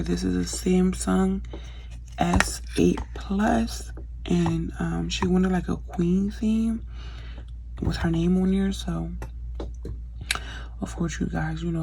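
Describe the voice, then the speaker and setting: Gravelly low-voiced woman vlogger. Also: mellow, slight background noise. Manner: chatty, many fillers, addresses viewers as "you guys". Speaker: craft vlogger. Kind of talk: casual how-to vlog chat.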